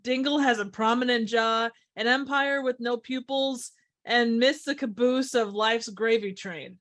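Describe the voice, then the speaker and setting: A woman co-hosting a podcast, chatting casually with frequent laughs and quick quips. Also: on the high side, a bit bright.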